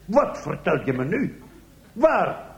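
Man doing a high-voiced, bumbling police inspector. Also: very animated, slow pace, lo-fi sound, heavily acted. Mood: baffled. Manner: exclaiming, questioning. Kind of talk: comic radio play acting. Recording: echoey room, faint noise.

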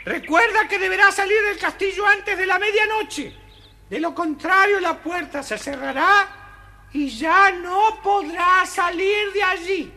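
Casting: cheeky little dwarf jester for a children's tale, piercing and very high. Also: measured pace, pushed and bright, heavily acted. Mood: instructing. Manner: exclamatory, bossy, teasing. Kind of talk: children's audio story acting.

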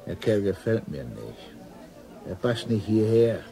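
An actor playing a pompous, quarrelsome know-it-all at the pub in a radio drama, mocking and theatrical. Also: male voice, on the low side, sing-song, a little slow, gravelly, music in the background, heavily acted. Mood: indignant, mocking.

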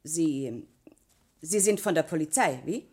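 Casting: snappish, scared hotel maid for crime radio play, lowish husky voice, very animated melody. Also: female voice, a little slow, full character voice. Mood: defensive, irritated. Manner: guarded, curt, defensive. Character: wary, snappish, frightened female hotel chambermaid. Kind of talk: German radio crime drama acting.

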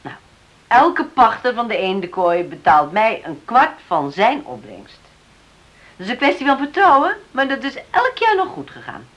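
A woman's voice: on the high side, huge pitch swings, normal speed, highs cut off. A woman acting in a Dutch radio drama as a shrewd, self-assured farm owner with a businesslike manner. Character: shrewd, firm widowed farm owner driving a bargain.

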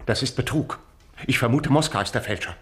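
An actor playing an officious, self-important Venetian notary in a German radio drama, speaking formally and pompously. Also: male voice, medium pitch, a little fast, fairly bright tone, close to an everyday voice. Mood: indignant.

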